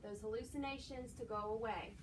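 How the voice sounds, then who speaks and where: In a slightly noisy, distant recording, a woman plays a young new nurse in an amateur skit. Her voice is fairly high, earnest and reassuring as she repeats herself to soothe. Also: fairly even intonation, fairly quick, lightly acted. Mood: soothing. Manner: reassuring, repetitive, checking with "okay". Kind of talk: amateur training skit acting. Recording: distant microphone, noisy room.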